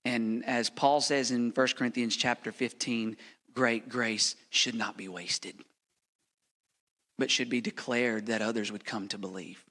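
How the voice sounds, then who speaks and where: A bright, forceful male preacher from the United States delivers a heartfelt missionary sermon in a church sanctuary. His voice echoes with a long reverb and swings from ringing appeals down to near-whispered lines. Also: mid-range voice, steady tone, measured pace. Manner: passionate, preacherly, swings from loud to hushed. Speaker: missionary pastor. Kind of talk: sermon with a prayer. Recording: reverberant hall.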